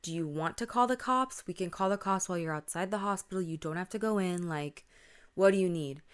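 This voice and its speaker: A woman's voice: lowish voice, brisk, smooth, natural voice. Woman playing a worried, questioning young friend. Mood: hesitant reassurance. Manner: questioning, hesitant, casual slangy reassurance. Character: bewildered, concerned young woman answering late-night call. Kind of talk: spoken scary-story dialogue.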